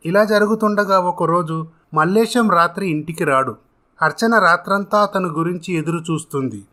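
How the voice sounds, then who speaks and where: Bright, higher-pitched male storyteller. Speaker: narrator of a moral story. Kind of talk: scripted storytelling narration with acted dialogue.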